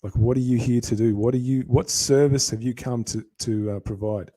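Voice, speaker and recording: Aussie man, husky mellow voice, lecturing on a live stream. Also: medium pitch, fairly even intonation, moderate pace. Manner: lecturing, answers viewer comments.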